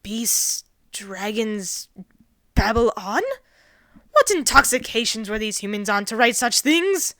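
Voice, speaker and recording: A woman voicing a loud, arrogant male alien invader in a story, indignant and excitable, given to bombastic shouting. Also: on the high side, takes it slowly, no echo, studio quality, pitched above the performer's own voice, full character voice. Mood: irritated, puzzled. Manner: shouting, bombastic, refers to himself in third person.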